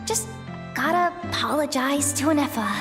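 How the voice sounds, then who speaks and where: A woman's voice: high-pitched, normal speed, natural voice. A woman voicing an awkward, easily flustered youngster in a video game, blustery and hesitant. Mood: flustered grumbling. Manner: blustery, self-conscious, hesitant mumbling to herself. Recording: game music playing behind. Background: game music.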